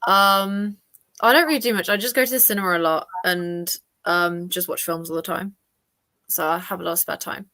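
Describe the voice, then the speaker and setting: A woman on a video call, soft-spoken and a bit hesitant as she talks about herself. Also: lowish voice, fairly even intonation, moderate pace, dry recording. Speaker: volunteer. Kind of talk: casual video call chat.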